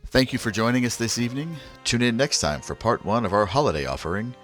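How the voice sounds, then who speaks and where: A man's voice: medium pitch, fast, fairly bright tone, soft background music. A man reading scripted announcements in the style of an old-time radio drama announcer.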